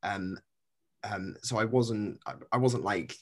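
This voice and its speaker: A young man from Cornwall, a PhD student guest, talking casually on a podcast with many pauses and fillers. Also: on the low side, slightly rough. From Cornwall, England. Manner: hesitant, many fillers, laughs lightly.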